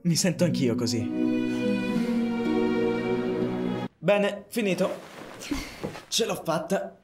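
A lazy, blunt young slacker , acted by a man in a comedy sketch dub. The voice is a little high and swings widely in pitch, with a nonchalant edge. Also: animated, measured pace, over loud music.

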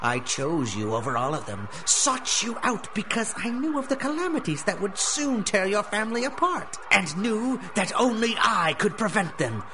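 High-voiced, sneering villain overlord. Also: male voice, sing-song, normal speed, few pauses, noisy background. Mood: cold menace. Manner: sneering, grandiose, domineering. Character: menacing male crime boss, the Rat Lord, cruel and theatrical. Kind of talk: 1930s-style radio drama acting.

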